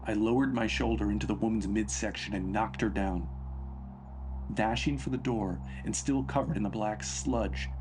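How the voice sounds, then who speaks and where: A man reading a scripted first-person horror story as its narrator. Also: lowish voice. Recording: narration over background music.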